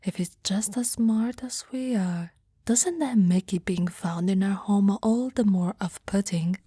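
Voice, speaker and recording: A woman's voice: mid-range voice, a little slow, mellow, husky, no echo. A woman playing an aloof, grumbling giantess, speaking breathily in a dry, dismissive, unimpressed way for an ASMR roleplay. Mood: disgusted, dismissive.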